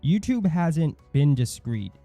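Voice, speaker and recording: Dark-voiced man reading scripted commentary. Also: on the high side, big pitch swings, slow, no room sound, faint music behind. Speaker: YouTube channel host.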